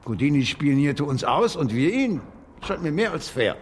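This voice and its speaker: Flustered, stammering older gentleman author. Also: fairly high pitch, big pitch swings, normal speed, husky. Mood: defensive. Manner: stammering, indignant, incredulous. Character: flustered, stubborn older gentleman author, Sir Arthur Conan Doyle. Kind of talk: German radio play dialogue.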